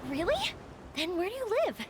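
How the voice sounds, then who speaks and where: Very high-pitched bubbly young woman. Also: big pitch swings, brisk, noisy background. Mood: curious, chatty. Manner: chatty, exclaiming with wonder. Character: bubbly, excitable young woman visiting a foreign city. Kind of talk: video game cutscene voice acting.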